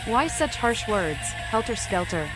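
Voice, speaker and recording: A woman's voice: on the high side, big pitch swings, unhurried, rough recording. A woman playing a mad vampire in a video game, speaking in eerie, oracular riddles over music. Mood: cryptic. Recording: music behind the voice.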